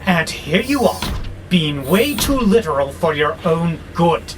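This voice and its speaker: An actor playing an irritable, rebellious freight robot in an audio drama, with exasperated, sardonic, theatrical grumbling. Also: male voice, a little high, a little slow, music in the background. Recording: clear steady background noise, vehicle-like hum.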